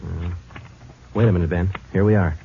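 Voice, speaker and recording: An actor playing a calm, firm police detective in a 1940s radio drama, steady and matter-of-fact. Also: male voice, sits low, brisk, vocal fry. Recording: dull 1940s radio recording with faint hiss.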